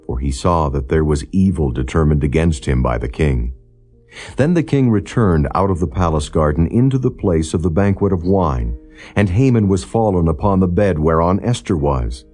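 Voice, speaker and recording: Deep-voiced man narrating scripture over music. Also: warm tone. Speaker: audiobook narrator. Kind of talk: scripted scripture narration, non-dramatized reading. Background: background music.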